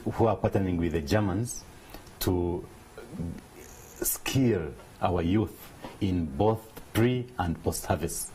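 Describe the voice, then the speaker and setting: A man, a business leader answering interview questions in a measured, earnest way. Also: low-pitched, dark tone, a little hiss or hum, poor audio. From Uganda. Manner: measured, earnest, explanatory, with restarts. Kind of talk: studio interview.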